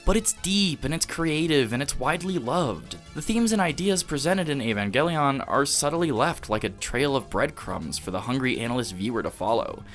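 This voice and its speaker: A man delivering scripted, analytical video essay narration in a measured, emphatic, rhetorical way. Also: medium pitch, lively intonation, a bit bright. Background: background music.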